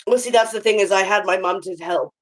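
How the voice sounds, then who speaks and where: A woman's voice: mid-range voice, quick pace. A woman from Canada chatting on a call, with a scratchy voice and a cough after being sick, laughing often and joking about herself. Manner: giggly, self-deprecating. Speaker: guest reader. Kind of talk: casual video call chat.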